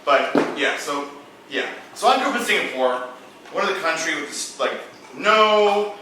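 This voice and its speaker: A man's voice: a little high, animated, normal speed, pushed and bright. A young man telling a rambling, profane story between drinks with friends in a casual chat. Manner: rambling, profane, tipsy, laughing asides. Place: room indoors with friends. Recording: very echoey room, mic far off, faint noise.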